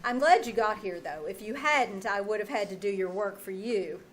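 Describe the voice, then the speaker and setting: A woman playing a wry, quick-witted English teacher in an old-time radio comedy, with dry, sardonic delivery. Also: medium pitch, slight background noise, natural voice. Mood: breezy, helpful. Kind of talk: old-time radio comedy acting before a live audience.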